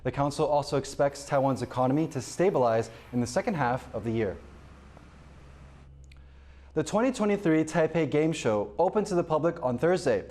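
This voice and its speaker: A man anchoring a television newscast, reading the headlines in a measured, even way from a studio. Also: a little high. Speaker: news anchor. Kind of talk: scripted news reading.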